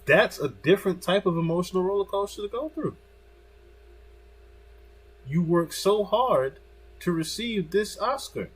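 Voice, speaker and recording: A man's voice: high voice, expressive, a little slow, long pauses, husky, rough recording. A man commenting on a video in a casual, emphatic way, repeating himself for stress and swearing freely.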